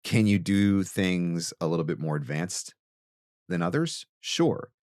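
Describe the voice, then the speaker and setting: A man with a low voice speaks like a podcast coach, close on the microphone in a dry space. He talks conversationally and emphatically, repeating phrases to drive points home and often posing questions to the listener. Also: lively intonation, unhurried, darkish tone, no echo. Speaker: podcast host and voice-over coach.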